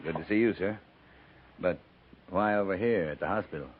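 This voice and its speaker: An actor playing a weary, laconic frontier cavalry captain with dry humor in a 1950s radio western. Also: male voice, low-pitched, brisk, husky. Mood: businesslike. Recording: tinny, hissy 1950s radio recording.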